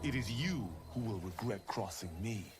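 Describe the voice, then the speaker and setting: An actor playing a brave, defiant young martial arts hero in a video game, cocky and earnest. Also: male voice, lowish voice, lively intonation, moderate pace, natural voice. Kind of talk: cinematic video game acting. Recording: loud music over the dialogue.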